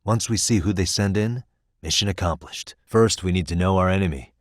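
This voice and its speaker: A man playing a shrewd, level-headed private detective in his thirties for a video game, speaking in a measured, matter-of-fact, determined way. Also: low-pitched, no room sound, studio quality, lightly acted. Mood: focused, planning. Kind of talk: English video game voice acting.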